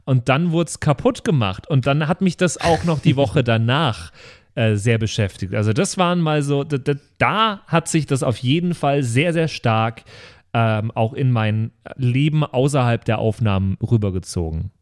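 A man's voice: mid-range voice, expressive, moderate pace. A man co-hosting a podcast in casual, slangy chat among friends. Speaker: podcast co-host closing a listener Q&A episode.

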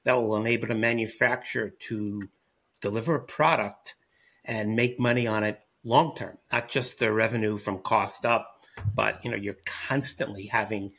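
A man's voice: lowish voice, steady tone, moderate pace, a bit bright. A man who is a guest expert on a panel podcast, explaining his points in a confident way. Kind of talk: panel discussion.

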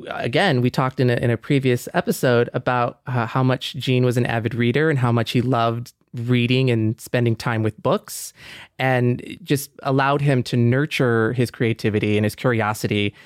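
A man's voice: medium pitch, fairly even intonation, normal speed, smooth, no echo, studio quality. A man co-hosting a podcast in a casual conversational style. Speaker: podcast co-host.

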